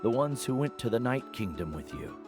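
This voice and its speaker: An actor voicing a gentle, caring older mentor guide in a video game, speaking in a measured, solemn way. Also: male voice, mid-range voice, sing-song, over music, natural voice. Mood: gentle, reflective.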